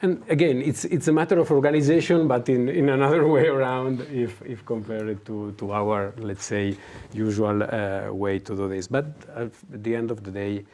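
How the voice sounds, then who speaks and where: A man speaking as a panelist in a business webinar, talking haltingly with many restarts and fillers. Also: mid-range voice, fairly even intonation, darkish tone.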